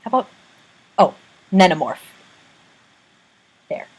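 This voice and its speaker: Young woman vlogger, fairly low voice, casual and rambling, lots of ums, bedroom webcam recording. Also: very animated, slow pace, darkish tone. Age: young adult. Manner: chatty, frequent ums, self-deprecating asides. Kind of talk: casual chat and reading aloud. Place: small bedroom with bunk bed, indoors. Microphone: built-in webcam mic.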